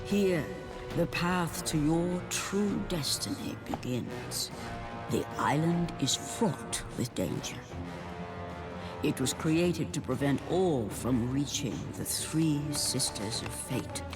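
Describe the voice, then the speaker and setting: Ancient Titan storyteller, solemn, deliberate, slow, game cutscene narration, loud music underneath. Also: male voice, a little high, expressive. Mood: grave, portentous. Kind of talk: epic scripted game narration.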